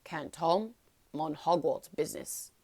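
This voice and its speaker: A woman's voice: deep voice, lively intonation, a little slow, a little mellow, no room sound, heavily acted. A woman voicing a big, good-natured man in a storybook, talking in a blunt, folksy, hearty way. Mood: brisk.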